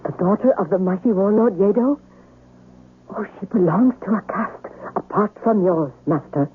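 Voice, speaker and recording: An actress playing a dutiful, devout servant wife with a husky voice and deferential, formal speech in a 1970s radio drama. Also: female voice, mid-range voice, animated, moderate pace, narrow, tinny audio. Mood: fearful, reluctant. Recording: thin, band-limited old radio broadcast.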